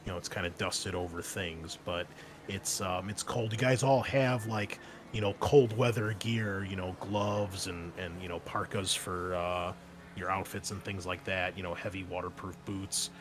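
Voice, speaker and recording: Lower-voiced man, casual game master. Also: steady tone, noisy background. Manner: informal, hesitant, halting phrasing. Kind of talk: narrating a tabletop roleplaying game.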